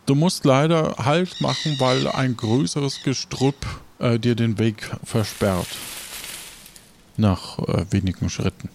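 A man's voice: medium pitch, takes it slowly, creaky, background noise. A man improvising tabletop game narration as game master, searching for his words as he describes scenes.

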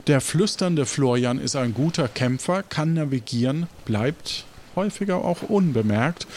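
A man's voice: mid-range voice, animated, a little slow, a little mellow, a little hiss or hum. A man improvising narration as game master of a tabletop roleplaying game.

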